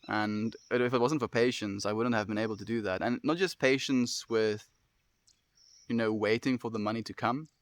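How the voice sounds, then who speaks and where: Gentle South African man on a livestream. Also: lowish voice, fairly even intonation, normal speed, no echo. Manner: gentle, affectionate, says "my friend" often, light laughs. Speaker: livestream host reading chat. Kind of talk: casual livestream chat.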